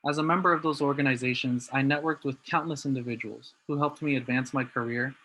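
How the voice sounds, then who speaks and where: A young man from New Jersey giving a prepared talk in an earnest, steady way. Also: medium pitch, quick pace, slight background noise, rough recording. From New Jersey, USA. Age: young adult. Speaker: student speaker.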